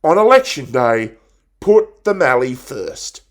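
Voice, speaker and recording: A man's voice: medium pitch, very animated, slow pace. A man reciting a theatrical monologue as a blunt, folksy 68-year-old Australian country woman making a rousing campaign speech. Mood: rousing, combative.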